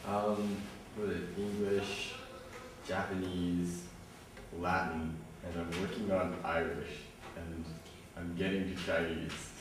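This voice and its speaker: Man, student, low voice, slow delivery, distant, noisy echoey classroom. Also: little pitch movement. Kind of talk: classroom discussion.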